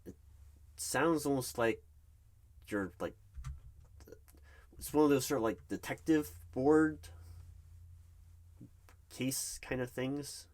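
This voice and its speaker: A man acting as a nervous, polite recruiting manager in a one-sided ASMR job interview roleplay, speaking haltingly and questioningly. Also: mid-range voice. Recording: clear background noise, keyboard typing. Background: loud computer keyboard typing and clicks.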